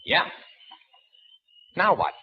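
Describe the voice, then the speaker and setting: An actor playing a surly, wisecracking taxi driver in a 1940s radio drama, with slangy tough-guy talk. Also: extremely slow. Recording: muffled 1940s radio broadcast with faint hiss.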